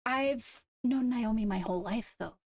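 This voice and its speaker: A woman playing a guarded young royal in an improvised Minecraft roleplay, speaking in a measured, deliberate way as she reasons aloud. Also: a little high, darkish tone, no room sound. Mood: serious, deliberating.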